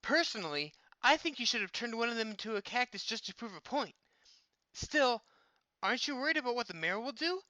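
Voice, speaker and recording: Woman voicing a cheeky, bright-voiced boy sidekick. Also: on the high side, big pitch swings, fairly quick, dry recording, rough recording. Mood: wry. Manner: wry, casual. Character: cheeky young male sidekick, loyal, easily exasperated. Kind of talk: dramatized storybook acting.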